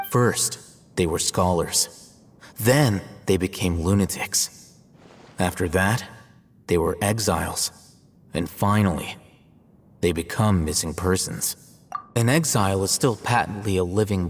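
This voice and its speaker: An actor playing a cool, detached scholar in a video game, speaking in a measured, formal and deliberate way. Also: male voice, sits low, big-room sound. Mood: detached, grave. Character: cool, analytical, detached male scholar and scribe. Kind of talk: scripted dramatic cutscene dialogue.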